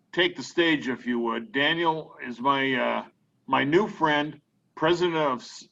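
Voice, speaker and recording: Guy running a zoom meeting, um-ing a lot, forceful voice, dull lo-fi sound. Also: mid-range voice. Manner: slow, hesitant, with many fillers. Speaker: meeting host introducing a speaker. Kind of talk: hosting a video call.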